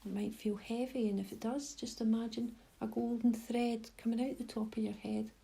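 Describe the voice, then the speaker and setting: Soft, husky woman guiding a meditation. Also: on the high side, flat delivery. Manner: gentle, unhurried instruction with pauses. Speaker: mindfulness guide. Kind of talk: guided meditation.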